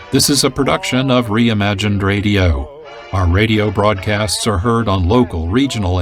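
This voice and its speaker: Low-voiced American male radio host over music. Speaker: producer and host. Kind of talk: scripted closing announcement.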